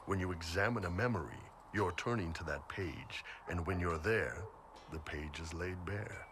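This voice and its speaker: A man's voice: deep voice, background noise, lightly acted. A man playing a huge horned mercenary captain in a video game, blunt, shrewd and easygoing, speaking colloquially. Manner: laid-back, blunt, colloquial.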